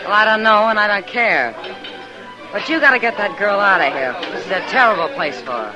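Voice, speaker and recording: A woman's voice: mid-range voice, lively intonation, a little fast, muffled recording, music in the background, a crowd in the background, close to an everyday voice. A woman playing a bold, caring frontier saloon woman in a western radio drama, frank and insistent in her delivery. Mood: urgent, indignant. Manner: frank, insistent, plain-spoken.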